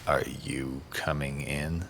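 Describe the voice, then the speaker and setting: A man's voice: very deep voice, little pitch movement, slow pace, vocal fry, noisy background, heavily acted. An actor playing an earnest, principled man in an audio drama. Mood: gentle. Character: earnest, principled man urging the greater good.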